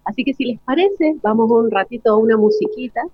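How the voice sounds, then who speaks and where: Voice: medium pitch, a little fast, slightly rough, dry recording, phone-quality sound. A presenter making a live, unscripted radio announcement.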